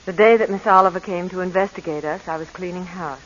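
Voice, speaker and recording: An actress playing an anxious, eager, sweet young wife with a flustered, pleading manner in a 1940s radio drama. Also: female voice, lowish voice, fast, music in the background, lightly acted. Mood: rueful. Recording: dull, lo-fi 1940s radio recording.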